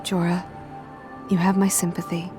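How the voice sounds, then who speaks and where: A compassionate heroine, played by an actress, speaks in a fairly low woman's voice. She is earnest and gentle in a fantasy game cutscene, with faint music underneath. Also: flat delivery, moderate pace, close to an everyday voice. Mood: concerned. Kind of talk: dramatic video game cutscene acting.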